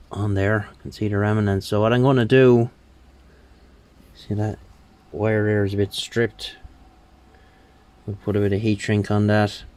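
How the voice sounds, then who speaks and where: Slow, low-voiced man. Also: a little mellow. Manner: unhurried, muttering, hesitant while working. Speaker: hobbyist radio restorer. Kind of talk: casual hands-on repair commentary.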